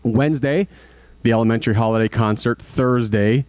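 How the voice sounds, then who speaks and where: A man giving a school activities report at a board meeting, halting, with many fillers and restarts. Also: mid-range voice, darkish tone, dry recording. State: recovering from hoarseness.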